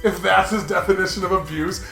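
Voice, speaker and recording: A man hosting a let's play, giving excitable, joking commentary on a game. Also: high voice, a little fast, creaky, soft background music. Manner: excitable, laughs often. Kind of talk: joking game commentary with dialogue read aloud.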